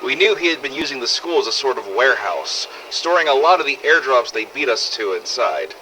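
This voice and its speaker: Man acting as sardonic zombie-apocalypse survivor, male, game audio log, lively intonation, sharp tone, weary and foul-mouthed, emphatic storytelling. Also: medium pitch, brisk, a little hiss or hum, low-quality recording. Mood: wry recounting. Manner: sardonic, expressive, emphatic swearing. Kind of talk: dramatised first-person audio diary for a game fan project.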